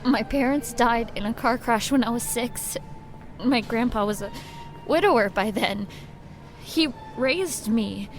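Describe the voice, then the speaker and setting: A woman's voice: on the high side, a little slow, faint music behind, natural voice. A young woman playing an earnest, concerned, devoted granddaughter in an audio drama. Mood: anxious, sad. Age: young adult. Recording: clear background noise.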